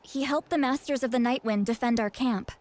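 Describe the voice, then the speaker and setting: Woman playing a young tribe leader in a game, highish voice, frank, friendly, earnest. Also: fairly quick, very noisy, lightly acted. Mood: serious, explaining. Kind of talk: video game voice acting.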